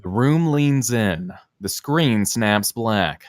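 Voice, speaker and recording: Animated man reading screenplay directions. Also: fairly low pitch, slow, dry recording, studio quality. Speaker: stage-direction reader. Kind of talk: scripted narration at a screenplay table read.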